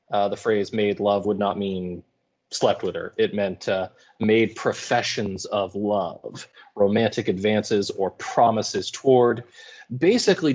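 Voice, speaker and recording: A man teaching in a casual lecture style, with informal, wry and colloquial paraphrasing. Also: on the low side. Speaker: high school English teacher.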